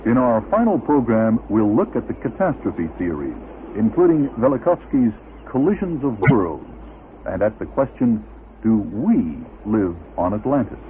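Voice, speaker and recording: A man's voice: on the low side, big pitch swings, moderate pace. A man reading scripted narration as the announcer of a radio series. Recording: lo-fi, phone-like radio recording with faint noise.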